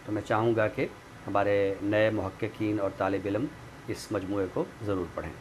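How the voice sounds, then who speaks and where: A man speaking in a measured, formal, literary way as a commentator discussing books. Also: lowish voice, fairly even intonation. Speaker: literary commentator and guest expert. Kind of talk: literary talk and book review with some poetry recital. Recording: clearly noisy background.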